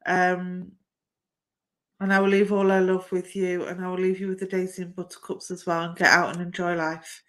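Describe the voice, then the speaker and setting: A middle-aged woman, chatting warmly through a live-stream card reading and calling viewers "my darling". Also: lowish voice, completely flat delivery, moderate pace. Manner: chatty and affectionate, says "my darling" often. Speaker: psychic medium giving live readings.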